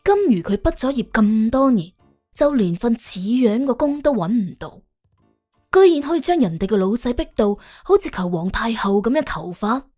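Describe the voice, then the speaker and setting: A woman narrating an audiobook in colloquial Cantonese, telling the story in an expressive, wry way. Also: fairly high pitch, moderate pace. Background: faint background music.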